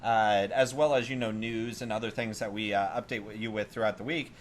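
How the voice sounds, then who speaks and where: A man's voice: fairly low pitch, steady tone, a little fast. Man hosting a gaming podcast over background noise. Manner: informal, bantering, steering the discussion. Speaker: podcast host. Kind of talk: casual gaming podcast chat. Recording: noisy amateur podcast recording.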